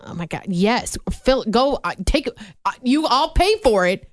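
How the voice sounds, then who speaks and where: A woman's voice: fairly high pitch, very animated, measured pace, no room sound, clean studio sound. A young woman co-hosting a radio show, chatting casually and gushing with easy laughs. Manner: bubbly, gushing, laughs easily. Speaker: radio co-host. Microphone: studio mic.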